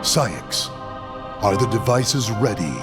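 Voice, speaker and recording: An actor playing a solemn, commanding villain overlord, grave, measured and grandiose, in a video game cutscene. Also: male voice, on the low side, expressive, over loud music. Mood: cold, calculating. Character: solemn, commanding male villain overlord, coldly patient schemer.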